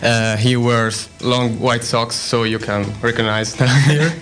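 Halting young man from Italy. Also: mid-range voice, little pitch movement, a bit bright, faint music behind. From Italy, Tuscany. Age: 20s. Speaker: guest, student. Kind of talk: casual chat.

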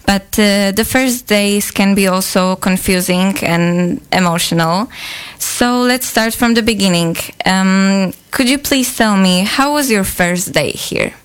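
A woman's voice: mid-range voice, a little slow, clear, resonant tone. A young woman hosting a student radio show, reading a scripted intro and then asking guests questions with some fillers.

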